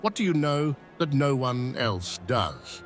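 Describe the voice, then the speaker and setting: A man playing a theatrical, animated Roman emperor. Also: mid-range voice, slow. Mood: curious, playful. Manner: grand, flustered, stammering. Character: excitable, easily swayed male Roman emperor. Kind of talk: theatrical fantasy audio drama. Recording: faint music underneath. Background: music.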